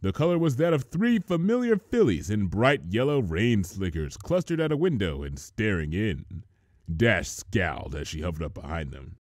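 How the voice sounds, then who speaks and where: A man's voice: fairly low pitch, big pitch swings, normal speed, darkish tone, no room sound, studio quality. A man reading a story aloud as a scripted narrator.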